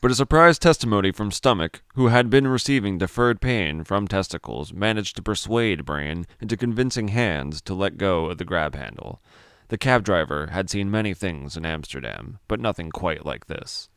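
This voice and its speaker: An extremely low-voiced man narrates a dramatic story. His voice is smooth and resonant, recorded close and dry. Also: lively intonation, moderate pace, a bit bright, no room sound.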